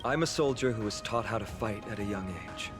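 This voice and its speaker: A man's voice: on the low side, a little fast, natural voice. A man playing an earnest, courteous young soldier hero in a video game. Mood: solemn, earnest. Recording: game music under the voice.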